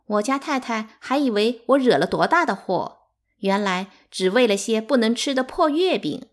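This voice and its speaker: A cold, mocking teenage servant girl from a storybook, voiced by a woman reader. She taunts brazenly and sounds pleased with herself, with her pitch raised above plain narration. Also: animated, moderate pace, close to an everyday voice. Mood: loud scornful.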